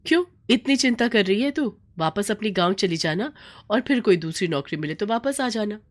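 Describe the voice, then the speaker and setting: A woman's voice: medium pitch, expressive, moderate pace, pitched above the performer's own voice, close to an everyday voice. A woman voicing a blunt, unfazed young roommate, matter-of-fact, in a storybook tale. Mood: pragmatic.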